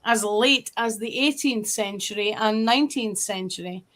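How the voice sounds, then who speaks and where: A woman's voice: mid-range voice, moderate pace, a bit bright. A woman with a northeast Scottish accent, chatting on a podcast and explaining history and local dialect. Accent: Scottish, northeast coast. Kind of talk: casual group chat.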